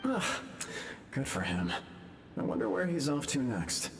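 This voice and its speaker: A contented, newly arrived ghost in a video game. His delivery is animated and swooping, chatty and easygoing, with music clearly playing underneath. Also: medium pitch, a little slow, heavily acted. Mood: cheerful.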